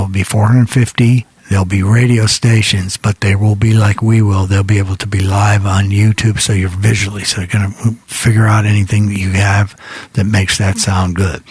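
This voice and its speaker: A man's voice: fairly low pitch, husky, dry recording. A middle-aged or older man hosting a rock music radio show, chatting in a folksy, rambling way as if thinking aloud on a close studio microphone. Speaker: radio DJ and host.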